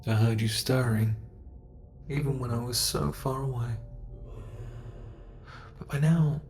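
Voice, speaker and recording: A British man playing a gravelly ancient monster. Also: medium pitch, fairly even intonation, soft background music, close to an everyday voice. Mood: teasing. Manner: slow, soft-spoken, teasing menace. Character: ancient male monster, possessive, mockingly polite. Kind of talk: ASMR horror roleplay.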